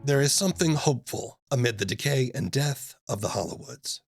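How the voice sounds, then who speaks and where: A man's voice: mid-range voice, expressive, a little fast, creaky, faint music behind. A man hosting an interview podcast, moving between questions and scripted narration.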